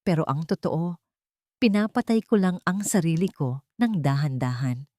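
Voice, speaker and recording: A woman voicing a wounded, grieving man who confesses quietly in a romance story. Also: low-pitched, moderate pace, close to an everyday voice. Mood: sorrowful, tender.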